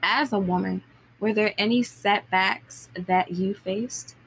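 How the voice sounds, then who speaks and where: A woman's voice: medium pitch, fairly even intonation, slow, creaky, fairly bright tone, poor audio. A woman asking prepared questions as an interviewer. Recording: noticeable background noise.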